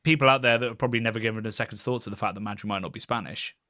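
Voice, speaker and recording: A man's voice: on the low side, very fast, pushed and bright, no echo, studio quality. A British man hosting a casual podcast, musing and rambling in a wry way. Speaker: podcast host, beer YouTuber.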